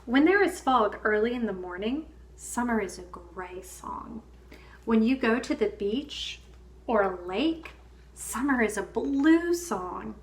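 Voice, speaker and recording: A woman reading a children's picture book aloud in a measured, expressive storytelling manner with pauses. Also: fairly high pitch. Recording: clear background ambient noise.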